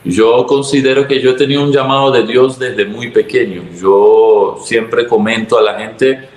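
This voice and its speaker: A man's voice: mid-range voice, steady tone, unhurried, a little mellow. Venezuelan pastor guy, 30s, earnest testimony, echoey room sound. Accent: Venezuelan Spanish. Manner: earnest, reflective, preacherly. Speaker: pastor, guest. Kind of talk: testimony interview.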